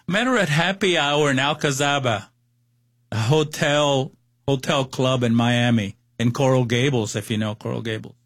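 A man's voice: mid-range voice, moderate pace, fairly bright tone, dry recording. A man in his sixties from Cuba with a Cuban Spanish accent, a guest giving his personal testimony in an interview, hesitant and full of fillers and restarts.

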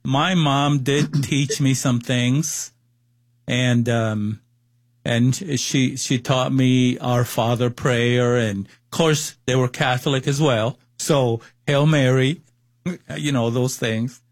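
Cuban-accented man in his sixties, interview guest, slow and halting, dry close sound. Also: medium pitch, steady tone, no room sound. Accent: Cuban Spanish. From Cuba. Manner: hesitant, many fillers and restarts. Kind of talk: personal testimony interview.

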